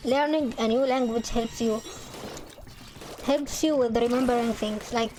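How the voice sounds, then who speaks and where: Young woman, native Arabic speaker, accented English, slightly high voice, casual halting gamer chat. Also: steady tone, darkish tone, a little hiss or hum. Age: young adult. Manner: halting, many restarts and fillers. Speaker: gaming channel host. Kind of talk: casual chat over gameplay.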